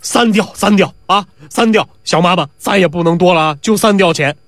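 A man's voice: sits high, fairly quick, a bit bright, dry recording, clean studio sound. A man voicing a greedy, flustered fat shopkeeper in a comic story. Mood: wheedling. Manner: wheedling, then panicky.

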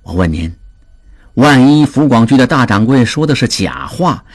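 A man voicing a calm, scheming merchant in an audiobook, speaking in a smooth, slyly jovial, unhurried way. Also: mid-range voice. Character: sly, scheming male merchant, calm and calculating. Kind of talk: audiobook character voice.

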